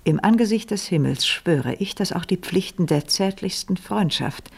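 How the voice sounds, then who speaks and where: Low, husky, haughty noblewoman. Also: animated, darkish tone, full character voice. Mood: solemn, reproachful. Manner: formal, measured, imperious irony. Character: scheming, haughty aristocratic lady, coolly ironic and controlled. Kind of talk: 1970s German radio play acting.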